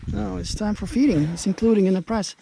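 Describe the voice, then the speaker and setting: A man with a fairly high voice, thinking aloud in an easygoing way as he films himself outdoors. A faint outdoor hiss sits under his voice, picked up by the camera mic. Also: fast talker, creaky, low-quality recording. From Norway. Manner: relaxed, chatty, thinking aloud. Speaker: travel vlogger. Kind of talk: casual travel commentary to camera. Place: outdoors at a jungle elephant camp.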